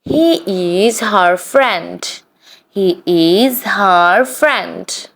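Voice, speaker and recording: Very slow, deliberate woman reading drills. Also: medium pitch, lively intonation, gravelly, a bit bright. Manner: deliberate, evenly spaced, each sentence read twice. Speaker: English practice reader. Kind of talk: slow read-aloud drill of practice sentences.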